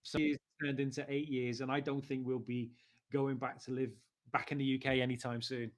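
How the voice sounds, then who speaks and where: A man's voice: medium pitch, flat delivery, normal speed. A man in his thirties with a Liverpool accent, chatting as a podcast guest in a rambling way with many fillers. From Liverpool, England. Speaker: interview guest. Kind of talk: casual interview chat.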